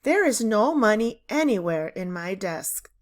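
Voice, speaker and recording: Storybook role: outspoken fifth-grade girl played by a woman reader, indignant and impatient, with big pitch swings. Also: big pitch swings, unhurried. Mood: defiant. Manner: clear, insistent, impatient.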